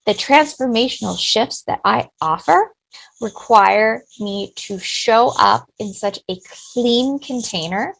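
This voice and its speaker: A woman's voice: on the high side, expressive, slow, vocal fry, low-quality recording. A middle-aged woman, a business owner, giving personal, talkative answers in an interview. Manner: personal, talkative, warm-hearted.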